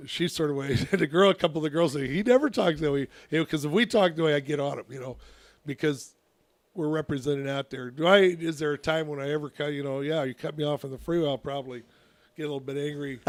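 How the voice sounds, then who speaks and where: A man, a youth softball coach, telling a long story on a casual radio talk show, with a naturally loud voice and many restarts. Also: medium pitch, no echo.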